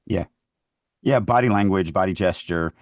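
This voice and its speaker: A man's voice: deep voice, normal speed, no room sound, studio quality. A man in casual conversation as a podcast co-host, speaking emphatically and repeating phrases to make a point. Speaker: writer co-hosting a writing podcast. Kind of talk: casual conversational writing discussion.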